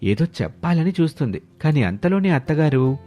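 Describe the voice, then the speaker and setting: A man's voice: fairly high pitch, big pitch swings, brisk, mellow. A man narrating a scripted family moral story as a storyteller. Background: background music.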